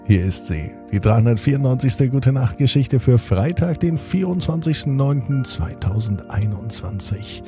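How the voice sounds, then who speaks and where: A man hosting and narrating a children's bedtime story in an expressive manner, speaking directly to young listeners. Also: medium pitch, normal speed, few pauses, over music. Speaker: podcast host and storyteller.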